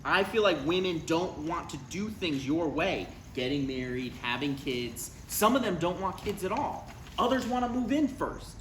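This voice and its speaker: A man's voice: fairly high pitch, lively intonation, normal speed, a bit bright. A man in his thirties playing an anxious, needy, defensive adult son in a live comedy table read, awkward and sarcastic. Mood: earnest, pleading. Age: 30s. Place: live reading in a room. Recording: roomy live recording with clear background noise.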